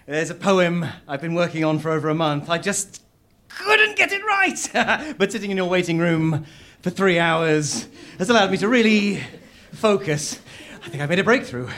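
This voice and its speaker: High-voiced, theatrical amateur poet. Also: male voice, animated, moderate pace, sharp tone. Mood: pleased, eager. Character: earnest, self-important amateur poet, eager and pleased with himself. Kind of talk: live audio comedy drama acting.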